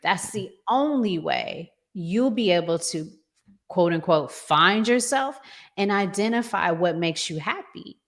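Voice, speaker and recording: Lady hosting a talk show, takes her time, kinda low voice, emphatic, says things twice to make the point. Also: expressive, measured pace. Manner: emphatic, repeats phrases for emphasis. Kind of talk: conversational relationship advice talk.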